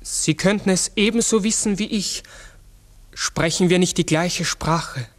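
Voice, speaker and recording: An actor playing a gentle, melancholy man-sized talking raven in a 1960s radio drama, speaking earnestly, formally and reflectively. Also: sits high, measured pace. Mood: wistful musing.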